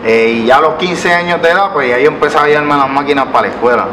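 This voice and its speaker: A man's voice: fairly high pitch, fast. A man in his thirties from Puerto Rico with a Puerto Rican Spanish accent, a barber telling his story as an interview guest, with many hesitations and restarts. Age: 30s. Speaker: barber and business owner, guest. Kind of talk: relaxed storytelling interview answers. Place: living-room style studio set. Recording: roomy sound from a distant mic with background noise.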